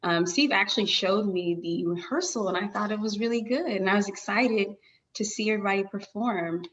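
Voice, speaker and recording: A woman's voice: mid-range voice, fairly quick, fairly bright tone. A woman chatting casually as an actor giving feedback, on slightly lo-fi call audio. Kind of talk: casual chat.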